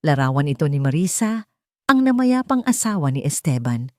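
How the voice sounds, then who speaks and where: A woman's voice: deep voice, big pitch swings, normal speed, no room sound, studio quality. A Filipino woman narrating a scripted love story as a dramatic storyteller.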